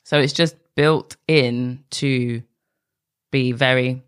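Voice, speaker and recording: A woman's voice: rumbling low, steady tone, slow pace, smooth. A woman hosting her own podcast, talking alone in a candid, conversational way that sometimes drifts off track. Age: adult. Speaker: podcast host. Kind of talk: solo podcast monologue.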